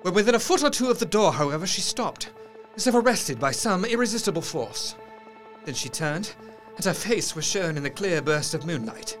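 Earnest male diarist, played by an actor, gothic audio drama narration: formal, intense, fairly high voice, light music underneath. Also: animated, moderate pace. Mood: horrified, intense. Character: earnest man recounting horrors from his journal.

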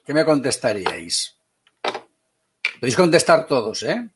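A man from Spain with a Spanish accent teaching an online class, brisk and teasing, calling on students by name. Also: medium pitch, very animated, background noise.

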